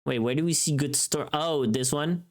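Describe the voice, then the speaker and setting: A woman's voice: sits low, normal speed. A woman livestreamer chatting casually in a playful, teasing, informal way, often thinking aloud and trailing off.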